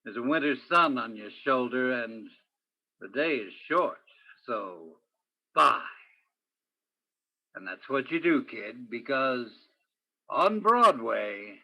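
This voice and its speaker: An actor playing a world-weary police detective who narrates a radio play in a deliberate, dramatic way with heavy pauses. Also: male voice, medium pitch, big pitch swings, exaggerated voice. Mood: brooding, intense. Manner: deliberate, dramatic, poetic second-person delivery with heavy pauses. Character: world-weary, brooding male police detective named Danny. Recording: dull, lo-fi sound.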